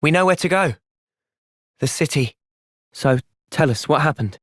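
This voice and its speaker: An actor voicing an earnest, thoughtful young male soldier and leader in a video game, with an English accent and a measured delivery. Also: fairly high pitch, lively intonation, a bit bright, no room sound, clean studio sound. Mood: calm, earnest. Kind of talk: video game cutscene acting.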